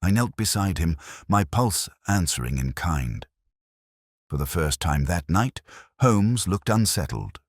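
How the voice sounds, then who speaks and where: Male narrator for mystery fiction: extremely low, husky voice, expressive swings in pitch, dry close sound. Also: unhurried, dry recording. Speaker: audiobook-style narrator. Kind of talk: scripted first-person mystery story narration.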